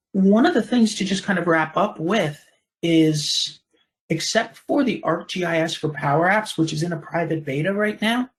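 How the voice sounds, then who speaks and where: A man presenting a software product in a webinar, explaining in a measured way with brief pauses. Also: fairly high pitch.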